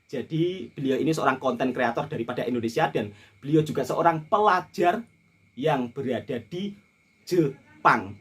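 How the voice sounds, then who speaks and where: A man's voice: on the high side, slightly rough. A man hosting a reaction video, earnestly pleading with viewers to get along. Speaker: reaction channel host.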